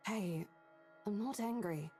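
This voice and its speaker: An actress playing a caring young real estate agent who talks in a reassuring, coaxing way in a horror visual novel. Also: female voice, mid-range voice, slow pace, darkish tone, soft background music, natural voice.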